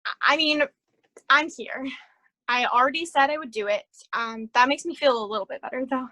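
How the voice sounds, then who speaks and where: An actress in a staged play reading, playing a hesitant but eager office junior with a tentative, halting way of speaking. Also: female voice, sits high, sharp tone, poor audio. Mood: tentative, compliant. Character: hesitant, eager young female office junior being recruited.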